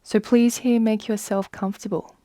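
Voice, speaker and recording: A woman leading a guided meditation in a soft, soothing manner, with long unhurried pauses. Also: mid-range voice, flat delivery, no echo. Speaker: yoga nidra guide.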